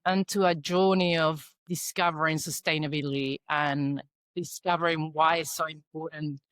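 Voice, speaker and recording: Argentine woman, Australian-tinged accent, lower voice, dry close sound, halting thoughtful guest talk. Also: steady tone, fairly bright tone, no room sound. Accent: Argentine Spanish mixed with Australian. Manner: thoughtful, halting, many fillers and restarts. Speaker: guest, environmental consultant. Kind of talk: podcast interview.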